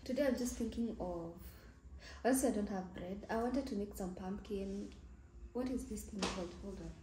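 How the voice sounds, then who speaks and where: Kenyan girl in her 20s vlogging in her kitchen, raspy morning voice, kinda rambly and half whispery, echoey room. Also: medium pitch, moderate pace. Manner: relaxed, rambling, often drops to a soft near-whisper. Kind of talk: casual vlog chat. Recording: echoey room with faint background noise.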